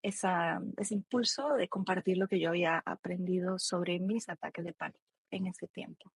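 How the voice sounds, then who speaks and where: Husky-voiced Costa Rican woman, conversational. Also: fairly low pitch, moderate pace. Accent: Costa Rican Spanish. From Costa Rica. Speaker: expert guest, psychologist and author. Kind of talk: conversational interview, explaining.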